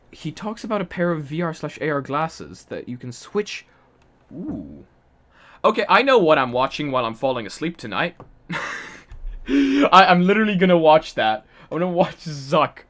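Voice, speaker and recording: A man's voice: fairly high pitch, fairly bright tone. A young man from Poland chatting casually on a live stream, reading chat aloud and laughing often.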